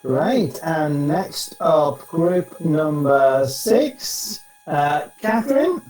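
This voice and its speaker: A man hosting an online event, introducing each coach in turn with brisk, upbeat remarks. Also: on the high side, lively intonation, darkish tone, echoey room, soft background music.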